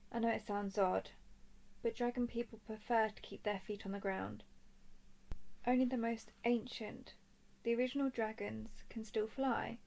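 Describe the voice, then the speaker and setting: Woman acting as creaky-voiced female dragon scout. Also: mid-range voice, darkish tone, natural voice. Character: watchful female dragon-clan scout, confident and teasing captor. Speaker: voice performer. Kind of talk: scripted roleplay audio drama monologue.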